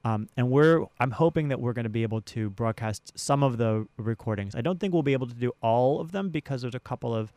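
An American man, a theater director, answering as a radio guest in a measured, conversational way, often restating his phrases. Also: medium pitch, darkish tone, no echo. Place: radio station studio. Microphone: close studio radio microphone.

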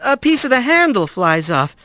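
A man voicing a nervous, quick-witted young male rat who speaks hesitantly and politely. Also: extremely high pitch, big pitch swings, pushed and bright, dry recording, voice pushed up, full character voice. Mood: careful.

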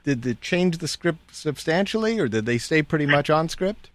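A man's voice: on the high side, sing-song, moderate pace, no echo. A man hosting a radio interview, asking easygoing questions with frequent fillers.

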